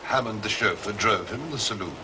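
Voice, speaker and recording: An actor playing a self-important, discreet butler in a period detective drama, formal and condescending in manner. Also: male voice, on the low side, fairly quick, noisy background, close to an everyday voice. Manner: formal, pompous, deferential to authority.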